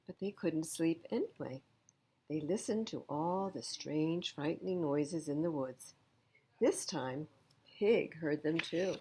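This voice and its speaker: A woman reading a picture book aloud to children as an expressive storyteller. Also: low-pitched, slow, a little hiss or hum, poor audio.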